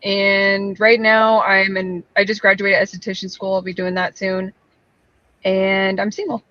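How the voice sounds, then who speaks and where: A woman's voice: medium pitch, level tone, a little slow, bright, forceful tone, no echo, muffled recording. A woman in her thirties introducing herself as a panel guest over a video call, a little apologetic. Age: 30s.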